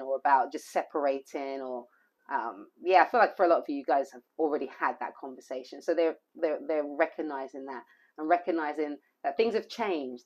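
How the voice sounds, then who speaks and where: A hoarse woman giving an intuitive tarot reading, rambling and repeating phrases with plenty of fillers. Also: sits low. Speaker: tarot reader.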